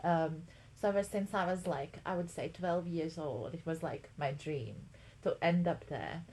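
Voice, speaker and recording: A young woman from Latvia chats on a podcast, filling her talk with ums and likes. Her voice sits a little low. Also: measured pace, a little mellow, rough recording. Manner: chatty, lots of ums and likes. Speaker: podcast co-host. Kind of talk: casual chat.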